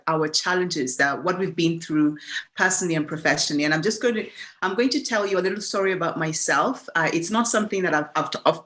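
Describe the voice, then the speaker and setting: A woman from Kenya, giving a reflective personal talk as a guest speaker, often restarting her sentences. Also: deep voice. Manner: warm, reflective, with frequent restarts and fillers.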